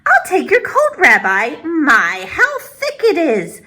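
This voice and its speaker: A woman doing a doting old granny, high and sing-song. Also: huge pitch swings, slow pace, pushed and bright, exaggerated voice. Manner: doting, chatty, motherly. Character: kindly fussing old grandmother, small and warm-hearted.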